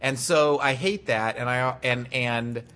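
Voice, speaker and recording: Chill guy on a podcast, kinda stuffy, bright voice, tells stories and swears. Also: medium pitch, measured pace, clean tone. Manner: laid-back storyteller, swears freely. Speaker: podcast co-host. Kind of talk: casual podcast chat.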